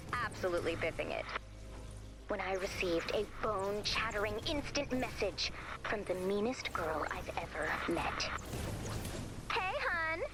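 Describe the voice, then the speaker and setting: A woman's voice: on the high side, heavy background noise. A woman playing a wry, mischievous, self-dramatizing young woman, droll and theatrical, in comedic game dialogue. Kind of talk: comedic animated game storytelling.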